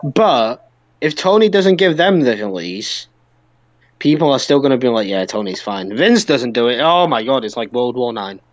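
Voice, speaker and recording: A man's voice: mid-range voice, very animated, normal speed, no room sound, muffled recording. A man co-hosting a podcast, chatting casually about wrestling. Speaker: podcast co-host.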